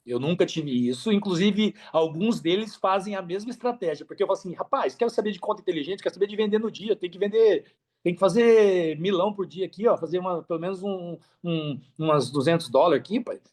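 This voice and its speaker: A Brazilian man with a slightly high voice talks as a guest on a podcast. His delivery is casual and emphatic, full of slang and repeated phrases for stress. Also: a little fast. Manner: emphatic, repeats phrases, slangy, animated storytelling with acted-out quotes. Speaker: podcast guest, affiliate marketer.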